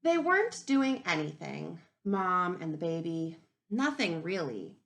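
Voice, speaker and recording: A woman teacher reading a picture book aloud to young children in an expressive, instructive way, pausing to ask questions. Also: on the low side, creaky. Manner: animated, instructive, asking the listeners questions.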